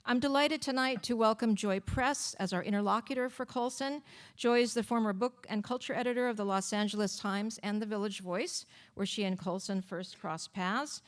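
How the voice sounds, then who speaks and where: A woman speaks as the host of an evening event. Her mid-pitched voice delivers a prepared introduction with formal, deliberate phrasing, measured and orderly. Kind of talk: prepared introduction speech with practical notes.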